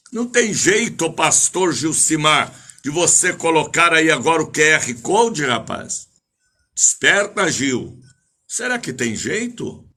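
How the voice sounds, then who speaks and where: Older guy from preaching on radio, really bright punchy voice, slow declamatory delivery, repeats himself. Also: fairly high pitch. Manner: declamatory, repeats phrases, drawn-out names. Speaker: evangelical bishop and preacher. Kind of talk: live radio church service, greeting listeners and exhorting.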